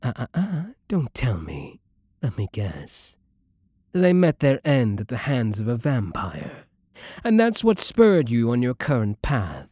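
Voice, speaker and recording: Guy doing a sadistic vampire lord asmr, husky n breathy, super dry close sound, theatrical and menacing, seductive. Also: mid-range voice, wildly swooping pitch, warm tone, no echo. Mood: condescending, coaxing. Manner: slow, theatrical, silky condescension with dropping murmurs. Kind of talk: ASMR roleplay, scripted monologue to the listener.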